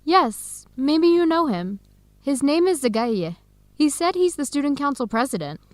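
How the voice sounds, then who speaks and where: A friendly, earnest young woman researcher in a radio drama. Her voice is high and very expressive, with a chatty, inquisitive manner. The recording is close and dry. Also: a little slow, no room sound. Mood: chatty, confiding. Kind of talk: educational radio drama acting.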